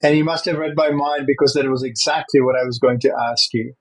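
Husky-voiced man. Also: mid-range voice, fairly even intonation, fast, poor audio. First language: Czech. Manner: thoughtful, with frequent fillers and restarts. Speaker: host. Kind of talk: conversational interview.

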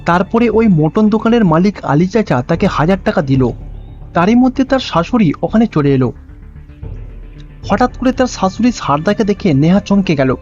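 A man's voice: high-pitched, animated, moderate pace, darkish tone. A man reading scripted storybook narration for a cartoon. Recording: dull lo-fi sound with music. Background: background music.

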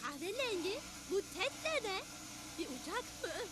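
A woman voicing a small, innocently curious, babyish cartoon character who talks in a halting babble. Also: very high-pitched, big pitch swings, music in the background. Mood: puzzled. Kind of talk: cartoon voice acting. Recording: clear background noise.

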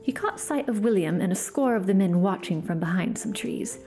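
A woman reads a fantasy story aloud as a podcast host, dropping to a hush when the tension rises. Her voice is dark and mellow, and faint music plays behind her. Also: mid-range voice, expressive, moderate pace. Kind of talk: dramatic story reading.

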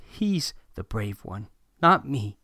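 A man's voice: fairly low pitch, very animated, slow, pushed and bright, no echo, studio quality, exaggerated voice. A man voicing a young boy in a storybook, defensive about his fears, with short, guarded replies. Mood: sad, confessing.

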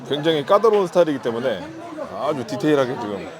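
A man's voice: medium pitch, very animated, quick pace. A man talking casually as a vlogger husband, narrating and chatting. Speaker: vlogger husband filming his wife. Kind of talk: casual vlog talk and narration. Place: voice-over and on location in an outlet shoe store. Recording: background music and crowd noise. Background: music, shoppers' chatter.

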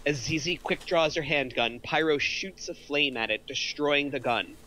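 A man's voice: a little high, moderate pace, bright, forceful tone, slight background noise. A middle-aged man reading out screenplay action lines as a narrator. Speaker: narrator of a script table read.

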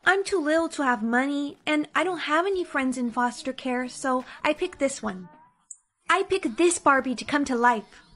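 A woman's voice: high voice, animated, moderate pace, fairly bright tone. A woman voicing a lonely little foster girl in a kids' skit, speaking in an earnest, childlike, hopeful way.

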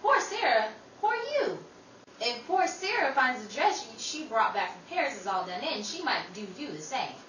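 A woman's voice: a little high, big pitch swings, moderate pace, a bit bright. A woman playing a caring, exasperated older sister in an old time radio drama, with theatrical delivery. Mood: alarmed, scolding. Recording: distant, echoey room with faint noise.

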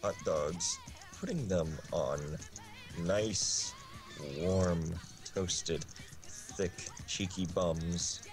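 A man reading absurd comic fiction in a deadpan way, drawing out his phrases with long pauses. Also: low voice. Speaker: guest reader on a game stream. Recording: game music behind the voice. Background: loud video game music.

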